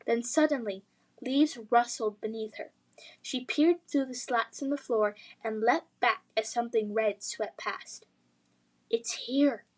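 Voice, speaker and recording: A woman reading a children's fantasy story aloud as narrator, with an expressive, suspenseful delivery. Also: fairly high pitch, rough recording.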